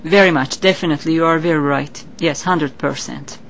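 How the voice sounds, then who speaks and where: A woman's voice: low voice, a little fast, slight background noise. A woman from Turkey with a Turkish accent, earnestly explaining her psychic work as an interview guest. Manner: earnest, explanatory, with non-native grammar. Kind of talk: interview answers.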